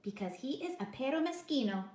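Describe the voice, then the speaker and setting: Woman doing a loud brash little dog. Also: lively intonation, a little fast. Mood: excited. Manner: loud, exclamatory, high. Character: brash big small chihuahua boy, loud and excited. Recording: echoey kitchen.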